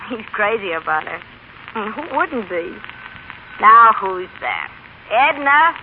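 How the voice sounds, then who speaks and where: An actress playing a brash, lively small-town young wife in a 1930s radio drama, slangy, feisty and emphatic. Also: female voice, mid-range voice, takes it slowly. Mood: chatty, fond. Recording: tinny, noisy old radio broadcast.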